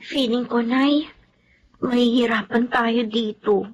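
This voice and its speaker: A woman's voice: fairly high pitch, steady tone, takes it slowly, dull audio, a little hiss or hum. An actress playing a dutiful young woman student, the maid's daughter, in a radio drama. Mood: uneasy. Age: young adult.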